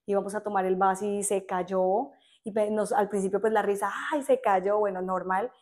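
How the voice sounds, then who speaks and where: A young adult woman with a Colombian accent, a teacher chatting as a podcast guest, animated and quick to laugh. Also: mid-range voice. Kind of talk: casual podcast interview.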